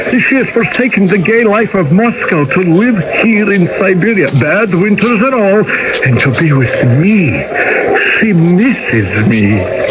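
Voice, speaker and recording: Swooping, yearning exiled Russian official. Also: male voice, high-pitched, lo-fi sound. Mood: elated. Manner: wistful, excitable, self-justifying. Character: yearning, self-deluding exiled Russian former government official. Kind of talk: 1970s-80s radio drama acting. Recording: noisy old radio broadcast.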